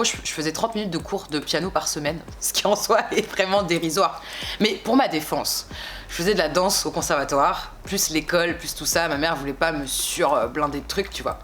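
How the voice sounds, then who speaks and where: A young woman in her twenties from France, a singer chatting casually in an interview, relaxed and slangy, rambling with frequent little asides. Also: deep voice, a little hiss or hum. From France (Bordeaux).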